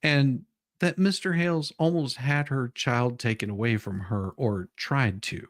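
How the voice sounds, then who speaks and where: A man's voice: mid-range voice, measured pace, no room sound, studio quality. A man hosting, switching between casual playful chat and dramatic reading of legal questions. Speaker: host.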